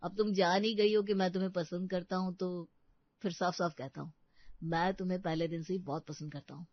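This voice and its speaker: A woman's voice: on the low side, fairly even intonation, a little fast, dry recording, muffled recording, close to an everyday voice. A woman voicing an eager, lovestruck young college man in a story, earnest and boyish. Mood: confessing.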